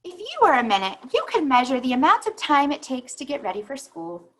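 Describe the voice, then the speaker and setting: Animated woman reading aloud to children. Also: high voice. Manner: instructive, pauses to think aloud and explain. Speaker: read-aloud teacher. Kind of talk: children's picture book read aloud with teaching asides.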